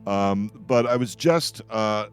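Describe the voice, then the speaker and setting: A man's voice: on the low side, slow, clear, resonant tone, faint music behind. An older man hosting a casual radio roundtable in a jokey, rambling way. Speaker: radio show host. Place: radio show with remote guests.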